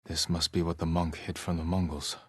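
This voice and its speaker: A man playing a solemn, resolute samurai warrior in a video game, speaking in a husky, measured, grave way with short phrases. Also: low voice, level tone, fairly bright tone, dry recording, studio quality. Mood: hushed, investigating. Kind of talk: dramatic video game character dialogue.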